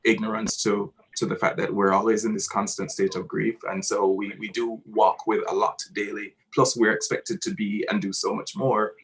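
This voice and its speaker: A man with a Jamaican accent speaking earnestly and haltingly, searching for words as he reflects on his creative work. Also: on the low side, level tone, rough recording. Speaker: theatre director discussing his work. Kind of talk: reflective talk about a creative piece.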